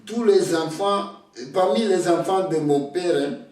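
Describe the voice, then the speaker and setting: An older Togolese man whose first language is Ewe, speaking slowly and hesitantly, often repeating words as he starts a thought. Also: sits high, a little mellow, far from the mic. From Togo. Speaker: interviewee, elder. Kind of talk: slow reflective storytelling. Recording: very echoey room, voice off-mic.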